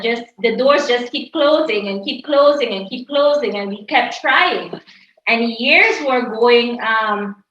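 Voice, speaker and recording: A woman's voice: fairly high pitch, a bit bright. A woman sharing her ministry testimony at a table, reflective and offering advice. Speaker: ministry speaker. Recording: distant echoey muffled video call.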